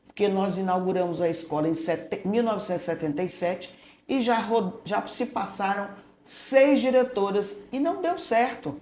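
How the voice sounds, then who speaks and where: An older woman, a retired school director, telling stories from her early years in a relaxed way and often tagging her sentences with "né?". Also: mid-range voice, moderate pace, slight background noise. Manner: storytelling, tags statements with "né?". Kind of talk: reminiscing interview answers. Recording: echoey room.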